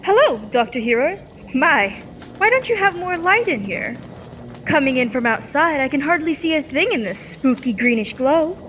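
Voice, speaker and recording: A woman playing a spirited, caring young heroine in an old-time radio space serial, earnest and expressive. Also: sits high, moderate pace, in a large hall, a little hiss or hum, low-quality recording. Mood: curious, breezy.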